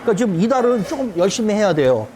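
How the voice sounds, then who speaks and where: A man's voice: high-pitched, big pitch swings, rapid-fire, darkish tone. A man in his fifties, a taxi driver, answering interview questions in an easygoing way and chuckling often. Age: 50s.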